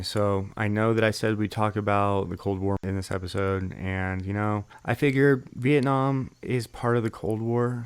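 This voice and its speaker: A man hosting a solo history podcast, reading out documents and explaining events in a measured, steady way. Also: on the low side, clean tone, dry recording. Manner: measured, steady delivery with occasional fillers.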